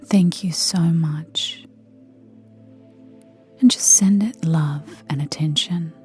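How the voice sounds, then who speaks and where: Female meditation guide, low and gentle, soft breathy whisper, long unhurried pauses, light music underneath. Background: soft background music.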